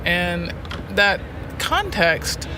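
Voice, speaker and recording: A woman's voice: on the low side, very animated, very slow. A woman from rural Alabama with a Southern US accent, talking casually while driving. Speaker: prison arts education program director, teacher. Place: inside a car on the road. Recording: noisy moving car interior.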